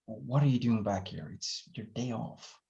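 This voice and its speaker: Slow-talking scruffy young guy played by an actor, dull recording. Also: lowish voice, creaky, a little mellow. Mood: guarded. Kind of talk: table read acting of a screenplay.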